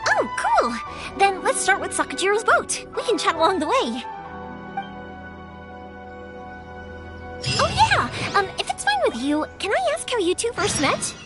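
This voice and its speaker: A woman voicing a chatty, warm-hearted young fireworks maker in an animated game performance, excitable and given to rambling. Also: extremely high pitch, normal speed, exaggerated voice. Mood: cheerful. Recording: background music under the voice.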